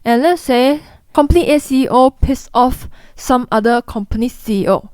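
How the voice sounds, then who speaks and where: A woman's voice: sits high, normal speed, darkish tone, no echo. Blunt Singaporean woman vlogger, dry sound. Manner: blunt, chatty, mimics people in quotes. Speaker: investing vlogger. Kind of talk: direct-to-camera explainer.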